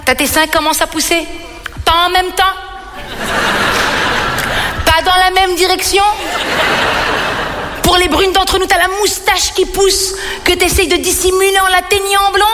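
A woman's voice: very high-pitched, flat delivery, measured pace, pauses often, very noisy. A woman from Belgium performing a stand-up comedy monologue, telling stories animatedly and putting questions to her audience. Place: reverberant venue on stage. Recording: hall reverb with music behind.

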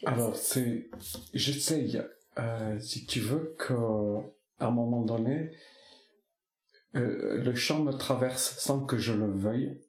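A middle-aged man whose first language is Basque, a singer answering interview questions slowly and thoughtfully, with many pauses and restarts. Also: mid-range voice, darkish tone, reverberant. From French Basque Country. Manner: slow, searching, many restarts and fillers.

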